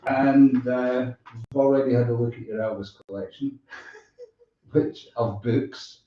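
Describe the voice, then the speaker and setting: A man's voice: medium pitch, a little slow, a little hiss or hum. A man hosting a casual interview, informal and hesitant in his phrasing. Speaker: interviewer and show host. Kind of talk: casual chat. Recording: very echoey, distant, dull sound.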